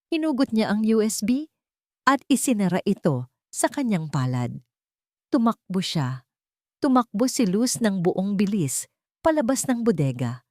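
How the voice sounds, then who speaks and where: A woman storyteller delivers scripted dramatic narration. Her voice sits a little low for a woman and moves with lively, expressive intonation. The sound is dry, with no room to it. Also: dry recording.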